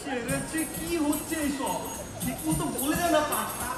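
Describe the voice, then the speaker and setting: A man's voice: extremely high pitch, normal speed. A man playing a flustered amateur actor in a comic stage play, theatrical and given to solemn sing-song declaiming. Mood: flustered, exasperated. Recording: distant echoey hall recording with music.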